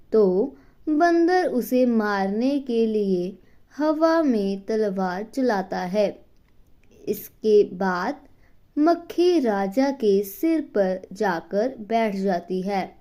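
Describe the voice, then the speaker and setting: A woman narrating a scripted children's bedtime story in simple, deliberate phrases with pauses. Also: a little high, mellow.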